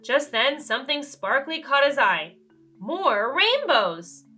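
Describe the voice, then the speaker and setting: A woman reading a children's storybook aloud in an expressive way, speaking directly to young listeners. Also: high-pitched, slow, faint music behind. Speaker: children's read-aloud host. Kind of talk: animated children's storybook narration.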